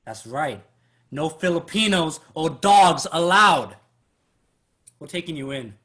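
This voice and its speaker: A man's voice: fairly high pitch, animated, takes it slowly, pushed and bright, heavily acted. An actor playing a hostile, bullying 1930s American lawman in a staged reading, taunting and menacing.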